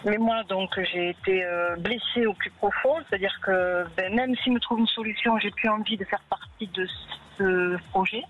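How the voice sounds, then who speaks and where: Woman caller, about sixty, light Toulouse accent, earnest and halting, on a dull phone line. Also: medium pitch, steady tone, slight background noise. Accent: light Toulouse, southern French. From Toulouse region, France. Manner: earnest, hesitant, explaining a grievance.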